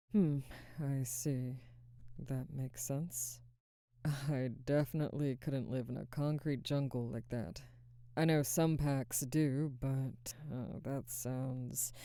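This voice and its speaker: A young woman playing a guarded, burdened werewolf pack alpha in a soft, hesitant, intimate ASMR roleplay close to the microphone. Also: a bass voice, no room sound. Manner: hesitant, soft-spoken, halting, breathy sighs. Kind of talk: ASMR audio roleplay, intimate scripted monologue. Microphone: close microphone, dry.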